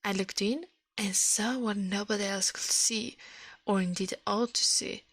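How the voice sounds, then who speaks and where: A woman's voice: mid-range voice, steady tone, unhurried, fairly bright tone, slightly rough, no echo. A woman giving an ASMR reading of a storybook, stumbling now and then and correcting herself. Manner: reads with stumbles and self-corrections. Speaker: ASMR streamer.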